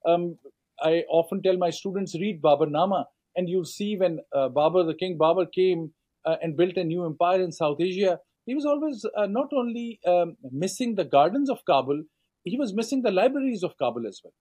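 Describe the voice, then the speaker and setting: A middle-aged man with a Pakistani accent, giving a long measured interview answer as a scholar, with many fillers and restarts. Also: sits high, animated, dark tone. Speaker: author and scholar guest.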